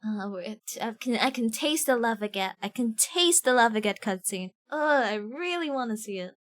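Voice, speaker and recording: A woman live streamer chatting casually as she plays a game, playful, expressive and prone to exclamations. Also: fairly high pitch, moderate pace. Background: game music.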